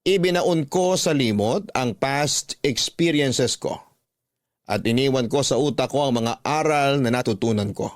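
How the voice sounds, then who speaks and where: A man narrating a scripted first-person story for a radio drama, measured and dramatic, drawing out the ends of phrases. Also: medium pitch, no echo, clean studio sound. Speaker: radio drama host and narrator reading a letter.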